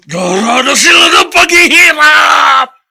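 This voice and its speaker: An actor playing a bitter, scornful old Filipino grandfather with a raspy, strained voice and explosive temper, in melodramatic radio drama style. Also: very high voice, very animated, slow pace, pushed and bright, raised voice, full character voice. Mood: vowing hatred. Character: bitter, vengeful old grandfather, quick to rage.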